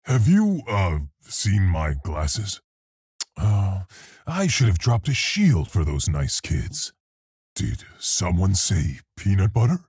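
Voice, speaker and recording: An actor playing a big, earnest, awkward scientist gorilla in a video game, with a gravelly, husky voice and deliberate, scholarly phrasing. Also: male voice, low-pitched, very animated, a little mellow, dry recording, clean studio sound, heavily acted. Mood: awkward, polite. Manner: deliberate, precise, scholarly, hesitant. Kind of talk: video game character voice lines.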